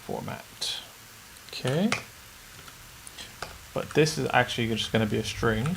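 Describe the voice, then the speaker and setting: A man's voice: medium pitch, huge pitch swings, measured pace, vocal fry. A man chatting casually on a live stream while coding a game, muttering his code aloud. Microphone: desk streaming mic. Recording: faint background noise, keyboard typing.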